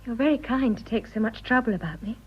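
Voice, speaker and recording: A young woman in a radio drama, idealistic and in love. Her voice is fairly high and breathy, earnest and resolute, on a slightly muffled recording. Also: quick pace. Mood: defiant. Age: young adult.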